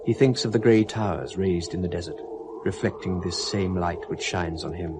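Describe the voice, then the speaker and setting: A man's voice: sits low, fairly even intonation, moderate pace. A man narrating an old radio drama in a grave, poetic manner, on a noisy recording.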